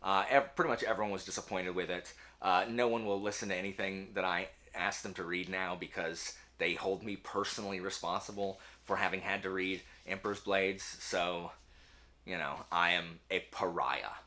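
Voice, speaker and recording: A man talking casually to camera as a book channel host, emphatic and animated, with a self-deprecating way of speaking. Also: low-pitched, moderate pace. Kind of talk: casual talk to camera, answering book tag questions.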